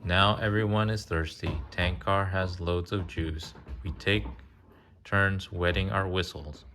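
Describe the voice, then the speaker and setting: Slow, low-voiced man reading aloud. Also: steady tone, sharp tone, a little hiss or hum. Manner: interactive, pausing to ask and explain. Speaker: adult reading a picture book to a child. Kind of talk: casual read-aloud with chatty asides.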